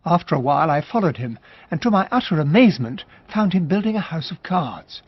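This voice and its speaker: Radio drama narrator: English officer and gentleman, measured storytelling, husky edge, dry intimate studio sound. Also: fairly high pitch, very animated, no room sound. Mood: measured, reflective. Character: gentlemanly English army captain narrating his memoir. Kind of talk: scripted first-person radio drama narration. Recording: close, dry, slightly dull studio sound.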